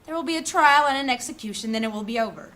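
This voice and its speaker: A woman playing a shrewd, composed mage councillor in a fantasy audio drama, speaking formally and deliberately. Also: on the high side, fairly bright tone, close to an everyday voice. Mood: calculating.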